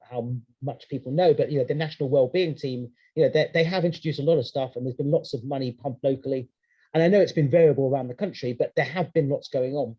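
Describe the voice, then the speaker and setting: A man speaking at a webinar, answering a question in a conversational way with many restarts. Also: medium pitch. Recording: dull lo-fi video-call audio.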